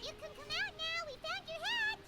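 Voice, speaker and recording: Squeaky, very high fairy sidekick. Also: female voice, brisk, loud music behind the voice. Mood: chipper. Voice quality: squeaky, shrill. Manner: speaks of herself in third person. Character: small childlike flying fairy companion, cheeky and chatty.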